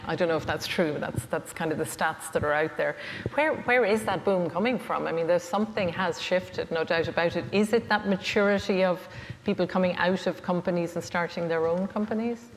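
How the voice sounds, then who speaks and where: A woman's voice: lowish voice, in a large hall, slight background noise. A woman interviewing someone on stage in a chatty, conversational way, phrasing things tentatively.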